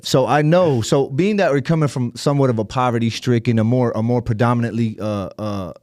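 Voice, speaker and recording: A young man hosting a laid-back podcast chat, his words tumbling out with restarts and repeated phrases. He speaks at a middle pitch, recorded close and dry in a small studio with no room sound. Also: a little fast, a little mellow, no room sound. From California. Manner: stammering, repeats words, lots of "you know what I mean". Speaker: podcast host. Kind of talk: casual interview chat.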